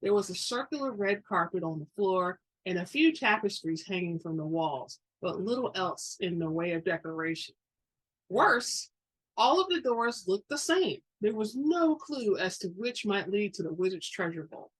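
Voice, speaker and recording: A woman's voice: medium pitch, expressive, moderate pace, breathy edge, poor audio. A woman giving a dramatic reading of fiction as a storybook narrator. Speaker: audiobook-style reader.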